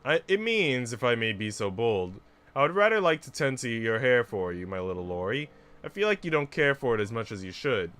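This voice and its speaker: A man voicing a tender, teasing young lady in a visual novel, speaking softly, formally and coyly. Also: medium pitch, big pitch swings, a little fast, clear, resonant tone. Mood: coy, affectionate. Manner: soft, formal, coy. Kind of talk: visual novel character reading.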